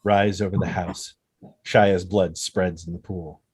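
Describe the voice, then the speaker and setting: A man's voice: low-pitched, fairly even intonation, unhurried, darkish tone, breathy edge, dry recording. A man reading stage directions as dramatic scripted narration at a table read, in punchy phrases. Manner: punchy, present-tense action reading.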